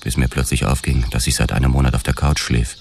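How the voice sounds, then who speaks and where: Very deep, brooding noir investigator. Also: male voice, little pitch movement, fast, vocal fry, heavy background noise. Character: world-weary, haunted male police investigator narrating his past. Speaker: narrator. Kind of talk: first-person noir radio drama narration.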